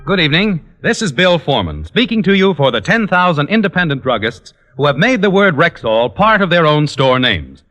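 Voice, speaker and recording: A man's voice: on the high side, sing-song, a little fast, fairly bright tone, dull audio, faint music behind. A man with an American accent delivering a scripted sponsor message as a mid-century radio announcer.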